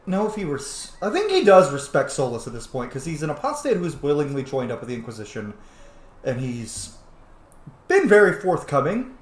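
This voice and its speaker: A man's voice: on the high side, big pitch swings, moderate pace. A man giving casual, jokey roleplay commentary as he streams a game, swearing freely. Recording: roomy, echoing sound.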